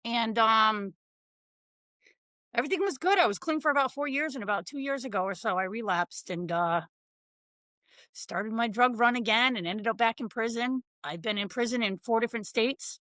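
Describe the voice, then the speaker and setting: Bright, blunt woman from Connecticut. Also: fairly high pitch, normal speed, no room sound. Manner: blunt, frank, casual with slang. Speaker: guest. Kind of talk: casual interview, telling her life story.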